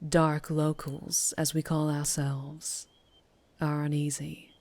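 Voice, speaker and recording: Casting: woman to play a sultry Southern US female vampire biker for ASMR roleplay; low register, unhurried, teasing and guarded. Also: little pitch movement, a little mellow, no echo. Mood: flirtatious, ominous. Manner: slow, low, teasing, lapsing into breathy whispers. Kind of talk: ASMR roleplay, scripted character acting.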